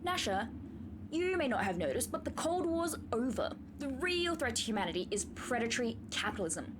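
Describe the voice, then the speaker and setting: A woman's voice: on the high side, big pitch swings, moderate pace, noisy background. A woman playing a fierce, sardonic younger warhorse in a comic radio play, opinionated and argumentative.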